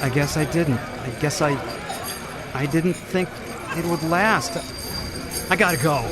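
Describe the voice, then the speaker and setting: An actor playing an irritable, uneasy, world-weary carnival boss, gruffly impatient and dramatic, in an audio drama. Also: male voice, fairly high pitch, unhurried, over a noisy crowd, natural voice. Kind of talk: audio drama acting with narration.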